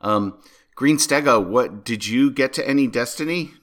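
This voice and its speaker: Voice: medium pitch, normal speed, a bit bright. A podcast host chatting casually about video games in a jokey manner. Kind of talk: casual gaming podcast chat.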